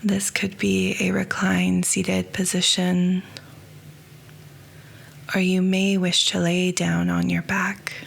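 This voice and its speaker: A woman guiding a yoga nidra meditation, speaking softly and slowly, often close to a whisper. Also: on the low side, completely flat delivery, vocal fry. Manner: soft, slow and hushed, often near a whisper. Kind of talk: guided meditation.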